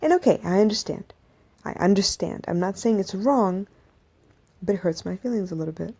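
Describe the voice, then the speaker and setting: A woman's voice: mid-range voice, moderate pace, warm tone, slightly rough. A woman in her thirties hosting a podcast, talking to listeners in a casual, reflective monologue with asides. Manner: conversational, reflective, with restarts and asides. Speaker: podcast host. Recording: live audio stream from a phone.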